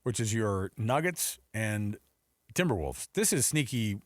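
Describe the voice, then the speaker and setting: A man's voice: lowish voice, big pitch swings, measured pace, no echo, studio quality. An American man hosting casual sports chat, prompting his co-host with questions and short reactions. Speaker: sports talk show host.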